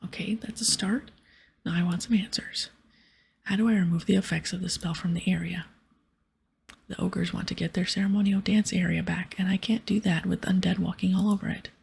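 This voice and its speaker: A woman voicing a stern fantasy-game adventurer in a breathy, firm whisper. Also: on the low side, fairly even intonation, a little fast, darkish tone, slight background noise. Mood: demanding. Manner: firm, accusing. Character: stern adventurer questioning and scolding a wrongdoer.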